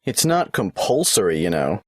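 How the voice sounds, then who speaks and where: A polite government clerk who takes an applicant through a form, asking his questions one by one in a patient, matter-of-fact way. His pitch rises and falls in a lively way, and the recording is dry and close. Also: moderate pace, breathy edge, dry recording. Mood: businesslike. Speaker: tax office clerk. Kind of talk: scripted exam listening dialogue.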